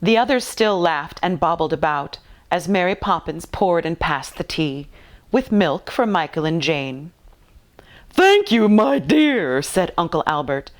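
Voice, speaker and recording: A woman reading a children's storybook aloud in an expressive narrating style. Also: low-pitched, a little slow. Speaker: storybook reader.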